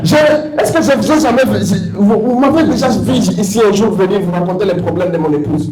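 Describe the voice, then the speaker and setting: A man preaching in a church, pausing for an interpreter, emphatic and stammering at times, with sing-song calls. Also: sits high, music in the background. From Congo. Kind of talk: sermon with interpreter. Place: church hall. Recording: echoey church with congregation.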